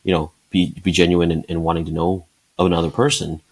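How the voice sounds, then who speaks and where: A man in his forties who is the guest in an interview, answering in a thoughtful, halting way. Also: sits low, level tone, breathy edge. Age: 40s. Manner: thoughtful, halting, many fillers and restarts. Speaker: guest, sales leader.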